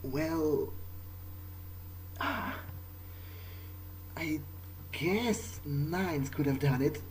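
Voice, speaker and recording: A man's voice: a little high, animated, drawn-out words, slight background noise, low-quality recording. A man improvising in character during a video game playthrough, casual, hesitant and wry. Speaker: let's play gamer speaking in character.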